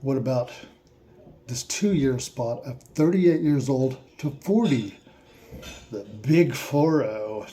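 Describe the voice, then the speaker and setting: A man slowly asking listeners a series of reflective questions, with playful asides in a surprised voice. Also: medium pitch, animated, mellow. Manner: slow, repetitive questions with mock-surprised asides.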